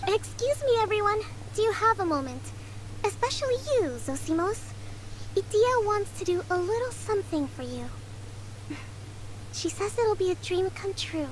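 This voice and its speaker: A woman voicing a tiny, excitable female fairy companion in a video game, bubbly and chatty. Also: very high voice, moderate pace, slight background noise, rough recording. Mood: excited. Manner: bubbly, speaks of herself in third person.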